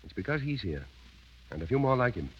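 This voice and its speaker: An actor playing a wry, loyal cavalry officer in a 1930s radio drama, urbane and sardonic, with a husky voice. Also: male voice, mid-range voice, sing-song, a little fast. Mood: earnest. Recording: dull vintage 1930s radio broadcast.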